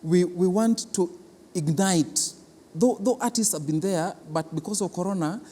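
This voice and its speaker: A man with a Kenyan accent explaining a programme in an interview as an official, deliberate and repeating words for emphasis. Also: sits high, warm tone, a little hiss or hum. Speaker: cultural centre official being interviewed. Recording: reverberant hall.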